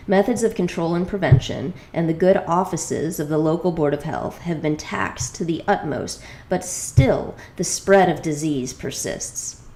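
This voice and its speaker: Lower-voiced woman reading in an echoey room. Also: a little mellow. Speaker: reader of a memoir exposé. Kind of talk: dramatic scripted narration of a first-person exposé.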